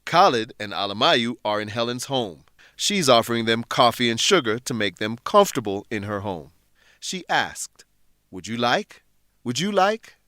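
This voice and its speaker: A man narrating a scripted English lesson in a deliberate, instructive way. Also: medium pitch, a bit bright, dry recording, studio quality.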